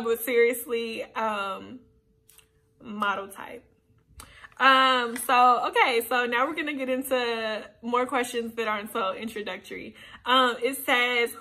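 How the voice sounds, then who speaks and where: Bright, chatty woman in her twenties. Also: on the high side. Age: 20s. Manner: animated, chatty, playful asides. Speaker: YouTuber. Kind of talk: casual chat to camera. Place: small indoor room, seated on a sofa.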